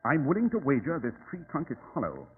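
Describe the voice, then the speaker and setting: Male, as authoritative radio-drama sleuth; formal, lively intonation, thin noisy vintage recording. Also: mid-range voice, quick pace, phone-quality sound. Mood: intent, dramatic. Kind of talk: old-time radio drama acting.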